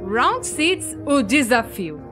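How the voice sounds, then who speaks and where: A woman's voice: high-pitched, very animated, takes it slowly, over music, full character voice. A Brazilian actress playing a young female animator who tells her story in chatty, self-deprecating scripted cartoon narration. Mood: chatty, wry. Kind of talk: scripted first-person comedic narration.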